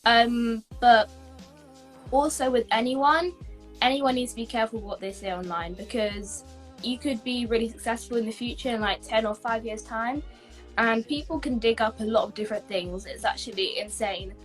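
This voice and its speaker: A woman's voice: fairly high pitch, normal speed, faint music behind. A young woman hosting an interview, asking long casual questions with lots of fillers. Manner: rambling questions with many fillers. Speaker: host and interviewer.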